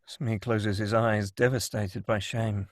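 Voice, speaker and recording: A man's voice: fairly low pitch, little pitch movement, takes it slowly, no room sound. A man reading stage directions as dramatic scripted narration at a table read.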